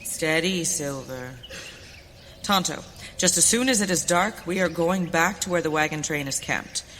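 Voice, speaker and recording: A woman playing a steady, courteous masked western hero in a radio play, with formal, deliberate diction. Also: low voice. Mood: commanding. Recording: hall reverb, faint noise.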